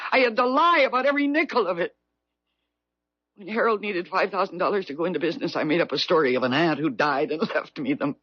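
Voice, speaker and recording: A woman's voice: medium pitch, fairly bright tone, slightly rough, dry recording. An actress playing a remorseful, desperate middle-aged woman with an overwrought, theatrical delivery in a 1970s radio drama. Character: remorseful, desperate aging woman haunted by guilt. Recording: dull vintage radio broadcast recording.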